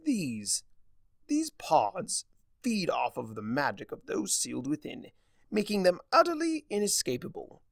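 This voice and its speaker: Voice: medium pitch, huge pitch swings, measured pace, creaky, dry recording, clean studio sound, pitched above the performer's own voice, full character voice. A man voicing a smug, swooping trickster spirit. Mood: mocking, lecturing. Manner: drawling, mocking, grandly explanatory. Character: smug theatrical male trickster spirit lecturing with relish.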